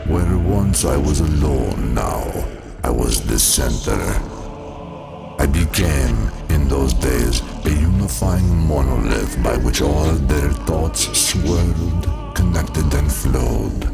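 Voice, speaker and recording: A man voicing an ancient, cold, menacing dark god in a fan motion comic, speaking slowly and grandly with a deep gravelly rasp. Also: loud music behind the voice, heavily acted. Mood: brooding. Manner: slow, brooding, grandiose. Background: loud dramatic score.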